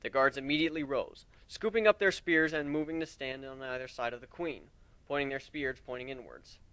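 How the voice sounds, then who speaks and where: A man's voice: medium pitch, a little fast, pushed and bright, clear, resonant tone, no echo. A man narrating a fan fiction story in a scripted, dramatic reading style.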